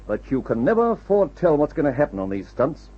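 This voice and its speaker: An actor playing a brisk, confident male flying adventurer who leads his team, in the style of a mid-century radio adventure serial. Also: fairly high pitch, sing-song. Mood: brisk, assured. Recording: muffled, dull old radio serial recording.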